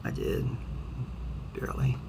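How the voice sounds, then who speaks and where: A man, an author who reads his own poetry and talks to viewers, with a gravelly, creaky voice. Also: unhurried. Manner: hesitant, self-deprecating when talking to viewers. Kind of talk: dramatic poetry reading, then casual rambling talk to viewers. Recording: clearly noisy amateur home recording.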